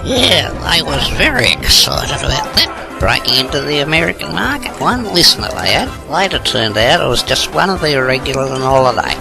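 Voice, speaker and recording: A wry, dry-humoured interviewee, played by a man with a gravelly voice pitched a little high. Music plays clearly behind him. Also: very animated, brisk.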